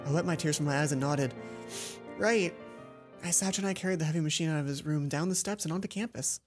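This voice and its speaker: A woman reading a visual novel aloud as a let's play host, expressive and playful, acting out the dialogue. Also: on the low side. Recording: music behind the voice. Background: game music.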